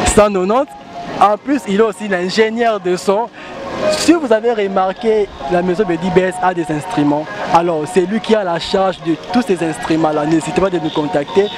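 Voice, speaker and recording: A man with a Congolese French accent hosting an interview show outdoors at a station, giving long rambling announcements and shout-outs. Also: sits high. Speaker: talk show host. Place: outdoors at a busy central station.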